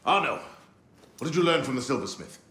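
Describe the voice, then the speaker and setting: An actor playing a stern, authoritative older master of a secret brotherhood in a video game, formal and commanding. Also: male voice, mid-range voice, very animated, a little fast, a bit bright. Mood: exasperated, commanding. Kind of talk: video game cutscene acting. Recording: roomy echo under music.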